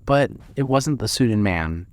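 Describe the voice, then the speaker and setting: A man's voice: medium pitch, expressive, unhurried. A man narrating a scripted dramatic story for a fiction podcast.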